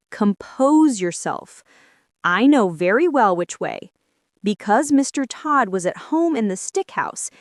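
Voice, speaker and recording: A woman's voice: medium pitch, no room sound, studio quality. A woman voicing a thoughtful young male rabbit in a storybook, speaking in a reflective, slightly formal, reasoning way. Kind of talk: storybook character voice.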